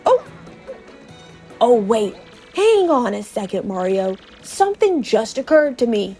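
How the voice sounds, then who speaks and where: A woman plays an elderly male professor from a video game, fussy and teasing. He talks slowly and hesitantly in old-fashioned phrases, with faint music behind. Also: a little high, very animated, mellow. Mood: cautious, needling.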